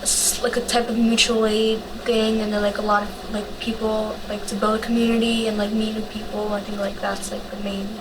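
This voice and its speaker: Casting: teenage female, mid-pitched, even casual delivery, recorded at a distance in a noisy room. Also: normal speed, few pauses. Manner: casual, frequent "like". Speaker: youth volunteer organizer. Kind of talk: casual panel talk.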